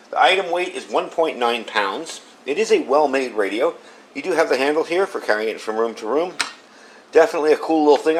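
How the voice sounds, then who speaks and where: A man's voice: mid-range voice, a little hiss or hum, poor audio. A man giving a casual product review in a conversational, unscripted, matter-of-fact way.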